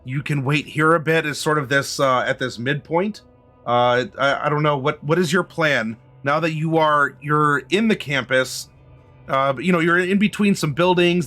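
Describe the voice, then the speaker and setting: A man runs a tabletop game in a fairly high, slightly squeaky voice. He improvises his narration haltingly, feeling his way through phrases and often clearing his throat, with music underneath. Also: pushed and bright. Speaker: game master.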